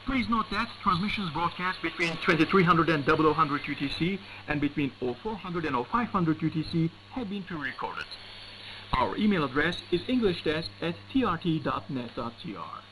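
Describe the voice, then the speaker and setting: A male radio announcer with a slightly high-pitched voice reads a scripted station announcement. The sound is a shortwave broadcast with clear noise and static around the voice. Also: expressive, moderate pace, a bit bright. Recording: noisy shortwave radio reception.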